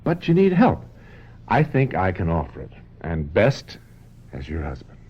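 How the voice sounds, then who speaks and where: A man's voice: deep voice, huge pitch swings, unhurried, slightly rough, heavily acted. A man playing a controlling, manipulative film producer and husband in a radio mystery drama, pressing hard as he speaks. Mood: coaxing. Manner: pressuring, swinging from coaxing to commanding. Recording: dull lo-fi radio drama, faint noise.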